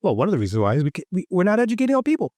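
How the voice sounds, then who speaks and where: A man's voice: sits high, wildly swooping pitch, very fast, darkish tone, no room sound, clean studio sound. A man from Haiti on a roundtable panel, making an earnest case with rhetorical questions. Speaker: physician panelist. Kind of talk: roundtable discussion.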